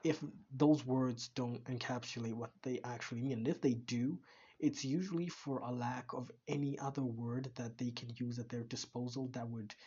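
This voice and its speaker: A man's voice: medium pitch, darkish tone, a little hiss or hum. Man musing over a dull mic. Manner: rambling, musing, frequent restarts and stammers. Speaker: reaction video creator. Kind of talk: casual reaction commentary.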